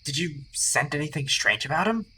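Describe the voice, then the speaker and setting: A man's voice: fairly high pitch, animated, a little fast, sharp tone, slight background noise. A man voicing an earnest, curious young tomcat hero in a fan audiobook. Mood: concerned, curious. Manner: earnest, questioning. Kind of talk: fandub audiobook voice acting.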